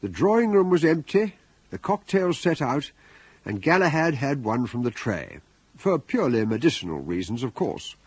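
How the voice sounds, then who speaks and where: A man's voice: medium pitch, big pitch swings, normal speed, slightly rough, no echo. A man with a British accent giving wry scripted narration as the storyteller of a radio comedy. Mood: wry, narrating.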